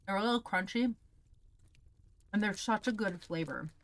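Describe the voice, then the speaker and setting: A woman's voice: medium pitch, lively intonation, normal speed, no echo. A woman hosting a livestream, chatting casually as she tastes snacks, in a candid, exclamatory way with plenty of swearing. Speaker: livestream host. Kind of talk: casual chat while taste-testing snacks.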